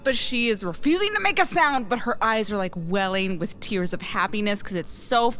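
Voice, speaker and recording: A woman playing a tabletop game, narrating her character in a casual, expressive way. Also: medium pitch, moderate pace.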